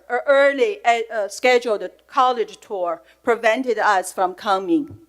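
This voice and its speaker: A middle-aged woman with a Chinese accent addresses a school board from prepared notes. Her voice sits slightly high. She speaks earnestly, with feeling held close to the surface. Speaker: public commenter at a school board meeting. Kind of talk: prepared public comment. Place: public meeting room.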